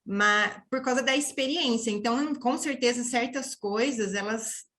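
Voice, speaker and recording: A woman's voice: a little high, unhurried, a bit bright. A woman speaking as a podcast guest, casual and reflective, often closing phrases with a tag question.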